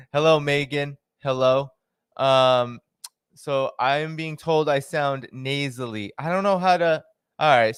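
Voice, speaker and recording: A man hosting his own live stream, chatting casually, greeting viewers by name and filling pauses with "uh" and "um.". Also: medium pitch, smooth, no echo, studio quality. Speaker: livestream host. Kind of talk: casual livestream chat.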